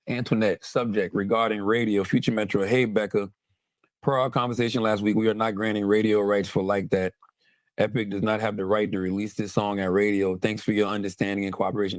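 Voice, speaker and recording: A man hosting an animated, conversational breakdown of rap lyrics, emphatic, repeating phrases for effect and swearing casually. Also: on the low side, no echo.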